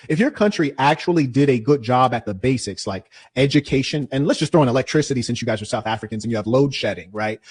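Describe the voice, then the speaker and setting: A man debating hotly, lecturing and combative, his voice dropping low and then rising to shouts. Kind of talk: heated debate.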